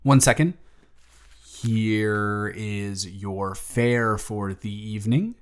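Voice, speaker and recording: A young man playing a grateful single father who talks softly and teases gently in a romantic audio story. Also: fairly low pitch, steady tone, extremely slow, smooth. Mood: tender, playful. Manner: soft-spoken, gently teasing. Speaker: audio drama performer.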